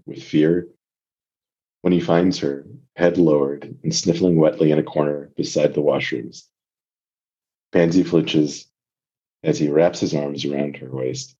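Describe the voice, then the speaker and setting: Very deep, husky man, dull recording. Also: mellow. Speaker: guest story reader. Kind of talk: narration and casual discussion.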